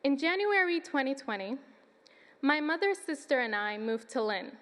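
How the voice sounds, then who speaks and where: High-voiced young woman in a big hall. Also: sing-song, normal speed, fairly bright tone. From Sudan. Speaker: student commencement speaker. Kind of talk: prepared speech. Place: large hall. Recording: long reverb of a large hall.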